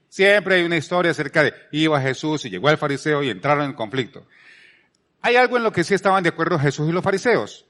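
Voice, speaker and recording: A Colombian man preaches in a conversational style, his voice set a little higher than most men's. He drives points home by repeating phrases and poses rhetorical questions as he teaches. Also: a bit bright. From Palmira, Colombia. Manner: emphatic repetition, rhetorical questions. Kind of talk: conversational sermon.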